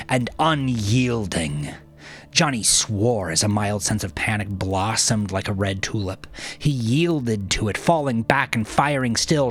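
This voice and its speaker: A man reading scripted fiction in a dramatic, measured way, dropping into hushed, breathy phrase endings. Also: mid-range voice. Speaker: audiobook narrator.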